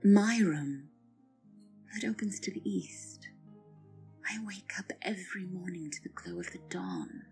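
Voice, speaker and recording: A woman playing a gracious, sly dragon queen in an audio roleplay, with a poised, theatrical and smoothly hospitable manner. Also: fairly low pitch, sing-song, a little slow, long pauses, a little mellow, faint music behind. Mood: gracious, dreamy. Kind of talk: scripted audio roleplay, ASMR.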